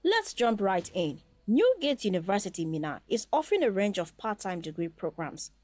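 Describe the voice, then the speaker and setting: A woman's voice: medium pitch, sing-song, moderate pace, darkish tone, dry recording. A woman hosting a scripted promotional interview in a polite, formal way.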